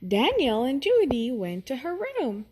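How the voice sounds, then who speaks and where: A woman reading a children's story aloud in an expressive, deliberate storytelling manner. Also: sits high, breathy edge. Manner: deliberate, animated storytelling with character voices. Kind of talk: expressive read-aloud narration for young children.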